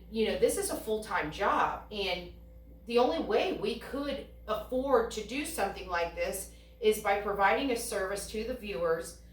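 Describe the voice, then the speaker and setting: A woman's voice: mid-range voice, fairly bright tone. A woman giving earnest, emphatic interview answers, often building them in repeated phrases. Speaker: online video creator, interviewee. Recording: roomy, distant mic, faint noise.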